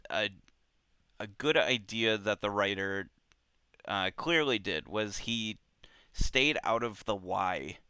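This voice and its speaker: A man with a bright, smooth voice talks through a book in a casual, conversational way. He speaks slowly and haltingly, circling back over his phrases. The sound is close and very dry. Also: fairly low pitch, no echo. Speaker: book club show host.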